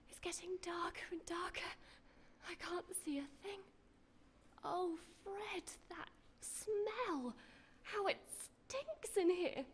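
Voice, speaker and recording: A woman's voice: very high-pitched, animated, takes it slowly, full character voice. A woman playing a frightened but plucky young sweetheart in a radio horror drama, breathless and melodramatic, with old-fashioned exclamations. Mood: hushed dread. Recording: clear background noise, drama sound effects.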